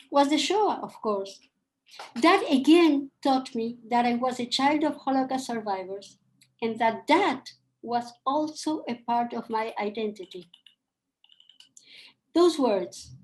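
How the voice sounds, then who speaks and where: An older woman with Polish as her first tongue, from Argentina, reading a personal account slowly and with feeling as a panel speaker. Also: a little high, rough recording. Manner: slow, measured and emotional.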